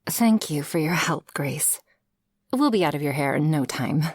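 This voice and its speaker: Woman as a tough shark girl gf, lowish voice, unhurried, blunt and sassy, close dry asmr roleplay. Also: animated, husky, no room sound. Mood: polite, possessive. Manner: teasing, blunt, sardonic. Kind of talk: intimate ASMR roleplay acting.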